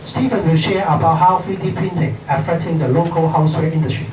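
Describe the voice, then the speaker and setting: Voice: medium pitch, brisk, darkish tone. An event host reading out a speaker introduction in short clipped phrases. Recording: echoey hall, far from mic, noisy.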